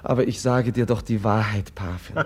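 An actor playing a gentle, compassionate prince in a radio drama, speaking earnestly and haltingly as he pleads with his rival. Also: fairly low pitch, fairly even intonation, slightly rough. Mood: pleading, insistent. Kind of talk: classic German radio drama acting.

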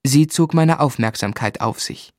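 Male literary narrator , played by an actor recalling his youth; medium pitch, measured, reflective, very dry sound. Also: a little mellow, dry recording, close to an everyday voice. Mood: observant.

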